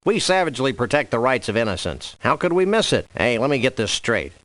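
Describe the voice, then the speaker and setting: Laid-back cartoon dog detective, game voice, deadpan wit, wordy, very varied intonation, close dry sound. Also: male voice, medium pitch, brisk, no echo. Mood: deadpan, folksy. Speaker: freelance police detective. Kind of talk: scripted video game character voice lines.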